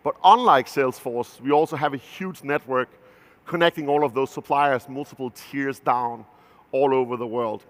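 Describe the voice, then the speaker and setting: A man's voice: mid-range voice, moderate pace. A man in his thirties with a Danish accent gives a conference keynote as a company founder, talking loosely with many fillers and restarts. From Denmark. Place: large conference stage. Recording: long hall reverb from a big venue.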